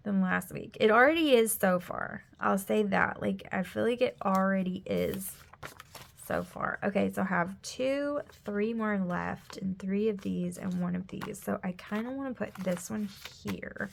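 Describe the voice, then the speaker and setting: A woman's voice: mid-range voice, unhurried, creaky. A woman, chatting casually at home while she decorates a planner; she is sniffly, her throat is scratchy, and she rambles with lots of "like" and often drops to a whisper. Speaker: planner vlogger, mother. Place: home room.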